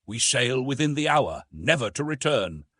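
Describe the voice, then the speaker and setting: A man voicing a courteous gentleman's grateful farewell letter in an audiobook, formal and earnest. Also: medium pitch, very animated, normal speed, breathy edge, no room sound, clean studio sound.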